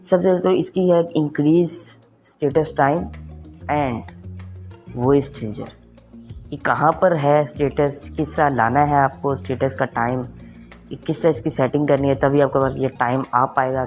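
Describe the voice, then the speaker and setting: A man's voice: mid-range voice, fairly even intonation, moderate pace. A young adult man gives a casual tech tutorial to online viewers, mixing English phrases into his talk. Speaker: tech video presenter. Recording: music behind the voice. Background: background music.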